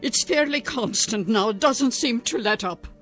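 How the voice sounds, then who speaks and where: An actress playing a gentle, frail wife in her seventies in a radio drama, tender and hesitant. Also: fairly high pitch, a little slow, faint music behind. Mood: earnest. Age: older.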